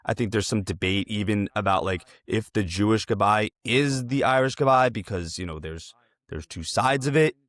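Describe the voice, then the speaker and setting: A man's voice: fairly low pitch, moderate pace, a bit bright, no room sound. A man hosting a casual interview, talking in a wordy, affirming, conversational way. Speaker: interviewer, podcast host.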